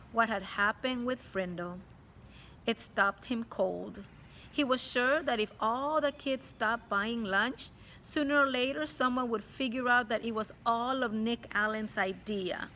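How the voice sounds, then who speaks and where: A woman's voice: on the high side, slight background noise. A woman teacher reading a chapter book aloud to children and stopping to explain it to them. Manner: warm, explains to children directly. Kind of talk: read-aloud storytelling with commentary.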